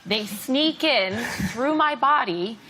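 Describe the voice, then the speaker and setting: A woman comedian doing a stand-up routine with a dry, deadpan delivery. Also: on the high side, takes it slowly. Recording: loud live audience and noise.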